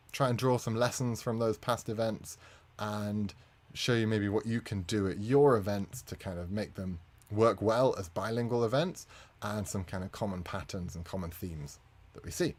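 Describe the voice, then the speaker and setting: A man, a native English speaker, presenting a conference talk as a community manager, friendly with frequent fillers. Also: lowish voice.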